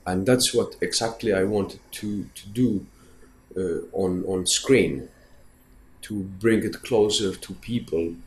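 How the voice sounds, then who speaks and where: A man from Syria whose first language is Kurdish, answering interview questions thoughtfully with many pauses and repeats. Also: low voice, a little mellow. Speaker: actor guest. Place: festival interview room.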